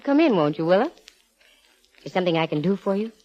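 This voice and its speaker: A woman's voice: fairly low pitch, big pitch swings, fast. An American actress playing a breezy, wisecracking female private investigator in a 1950s radio drama, with a wry delivery. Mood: brisk, friendly. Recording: dull vintage radio recording.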